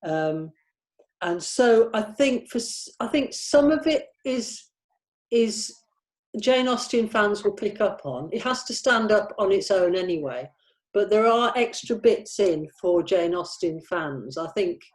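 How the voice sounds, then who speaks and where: A woman scriptwriter casually discussing a script, halting and searching for her words as she explains it. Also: mid-range voice, lively intonation.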